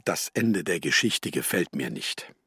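An actor playing a hesitant, doubtful man in a children's radio play, speaking in a halting, questioning way. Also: low-pitched, big pitch swings, noisy background, exaggerated voice. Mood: dissatisfied. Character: hesitant, doubtful man with a feather in his hat.

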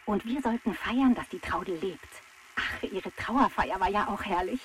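A woman playing an excitable, sentimental hairdresser speaking over a radio link in a children's radio play. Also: mid-range voice, moderate pace, a little hiss or hum. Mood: emotional, hopeful.

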